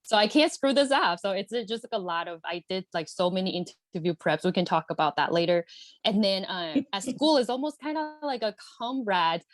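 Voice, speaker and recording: A woman's voice: medium pitch, a little fast, dry recording. A woman, chatting earnestly as an interview guest, with lots of fillers and repeated words. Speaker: guest, MBA alumna. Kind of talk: casual interview chat.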